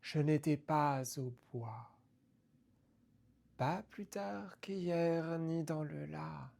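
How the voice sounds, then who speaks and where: A woman reciting poetry theatrically, deliberately, in short halting phrases that sometimes fall away toward a whisper. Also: low-pitched, creaky, dark tone.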